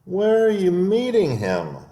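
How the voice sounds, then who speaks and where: A hungry treetop owl from a picture book, coaxing and hooting, as a man reading aloud puts it on. The voice lifts high and wavers. It echoes in a roomy space. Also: huge pitch swings, slow pace, mellow, exaggerated voice. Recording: echoey room.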